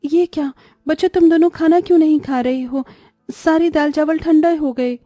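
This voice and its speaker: A woman's voice: high-pitched, normal speed, mellow, dry recording, studio quality, faint music behind, lightly acted. A woman voicing a caring, troubled young mother in a poor household, earnest and plaintive, in a cartoon. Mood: gentle scolding. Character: anguished, caring young mother and daughter-in-law in poverty.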